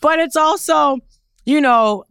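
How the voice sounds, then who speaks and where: A woman's voice: high voice, slow pace, a bit bright, no room sound. A woman from Newfoundland with a Canadian accent, chatting candidly and emphatically as an interview guest in a studio. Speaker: guest, fashion photographer and creative director. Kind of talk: casual chat interview.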